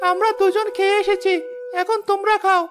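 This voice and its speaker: A woman's voice: very high voice, little pitch movement, fairly quick. A woman voicing a hungry, plaintive little village boy in a children's cartoon. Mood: eager. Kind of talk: children's moral-story cartoon dubbing. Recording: faint music behind the voice.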